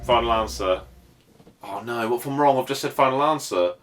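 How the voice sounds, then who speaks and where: A man's voice: medium pitch, a little fast, soft background music. A man co-hosting a podcast in casual, sweary, blokey banter with a quiz feel. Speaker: podcast co-host.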